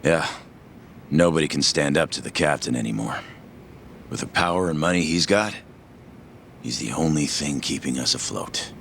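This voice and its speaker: An actor playing a bitter, defiant yakuza gangster with a gravelly voice in a dramatic game dub. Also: male voice, extremely low pitch, animated, normal speed, long pauses, background noise, exaggerated voice. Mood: subdued, resigned. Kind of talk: English video game dub, dramatic acting.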